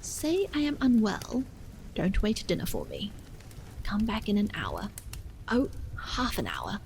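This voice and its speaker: A woman reading aloud and voicing a troubled husband who speaks gravely, dully and stubbornly, with halting pauses. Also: medium pitch, huge pitch swings, darkish tone, slight background noise, full character voice, poor audio.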